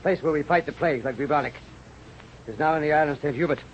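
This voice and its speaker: On a dull, faintly noisy old radio recording, a jovial older doctor played by a man speaks in a fairly high voice. He is hearty and persuasive, full of exclamations, in the broad manner of radio drama. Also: fast talker, lightly acted. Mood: urging, persuasive. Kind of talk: 1930s-40s radio drama acting.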